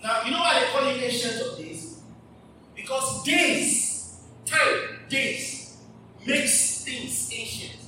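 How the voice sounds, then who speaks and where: Slow-preaching pastor in a hall. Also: male voice, extremely high pitch. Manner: emphatic preaching, repeats phrases, asks the congregation questions. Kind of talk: live church sermon. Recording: distant mic in an echoey hall with background noise.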